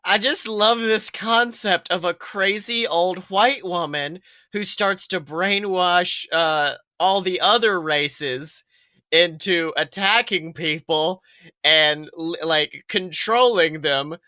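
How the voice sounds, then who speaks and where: A young man co-hosting a podcast in casual comedic chat, riffing in an animated, profane way. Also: sits high, slow, no echo. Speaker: podcast co-host.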